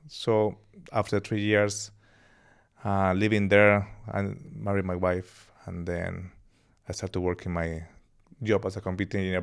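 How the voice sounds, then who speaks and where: A man in his thirties from Colombia with a Colombian accent and Spanish as his first language, telling his life story as a podcast guest in a relaxed, rambling way. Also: lowish voice, gravelly, clear, resonant tone. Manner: relaxed, laughs easily, rambling anecdotes. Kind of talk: casual interview storytelling.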